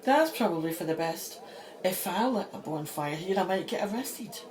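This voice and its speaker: An actress playing a feisty, beleaguered Egyptologist who exclaims and mutters to herself in an audio drama. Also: female voice, lowish voice, expressive, normal speed, darkish tone, close to an everyday voice. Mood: wry, puzzled. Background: music.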